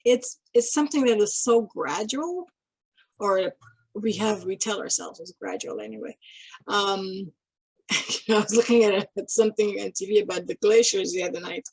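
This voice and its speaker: A middle-aged woman from Guyana speaking in a halting, thoughtful way, pausing and restarting as she reflects. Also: medium pitch, lively intonation, breathy edge, no echo, low-quality recording. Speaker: interviewee. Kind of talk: casual reflective talk.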